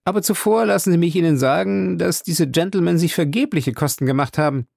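A reader voicing an excitable, loyal male French manservant who talks in an indignant, emphatic way and is growing tipsy. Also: medium pitch, fairly quick, creaky, no room sound, clean studio sound, pitched above the performer's own voice.

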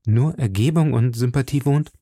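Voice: mid-range voice, moderate pace, dark tone, no room sound, clean studio sound, pitched above the performer's own voice, natural voice. A narrator playing an ardent, insistent gallant in his late teens, speaking eagerly and formally in an audiobook. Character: ardent, insistent young gallant man, not yet twenty. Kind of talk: audiobook character dialogue.

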